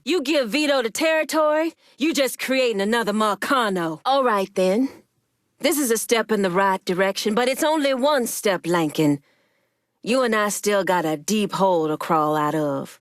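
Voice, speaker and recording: A woman playing a commanding, wary female gang leader in a dramatic video game, speaking deliberately and sternly with folksy turns of phrase. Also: medium pitch, no echo, clean studio sound, natural voice.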